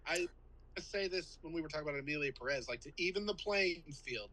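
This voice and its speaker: Male podcast co-host, bright tone, rambling and self-mocking. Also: fairly high pitch, animated, fairly quick, poor audio. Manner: rambling, filler-heavy, self-deprecating. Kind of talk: casual movie chat.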